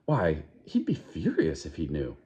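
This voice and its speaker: A man's voice: extremely low pitch, huge pitch swings, a little slow, warm tone, highs cut off, full character voice. A man putting on a gossipy, scolding young woman.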